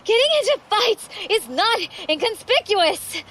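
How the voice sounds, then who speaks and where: A woman's voice: very high voice, big pitch swings, a little slow, fairly bright tone, heavily acted. An actress playing a brash, bossy young female space pirate in an audio drama. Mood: scolding. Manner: scolding, blunt.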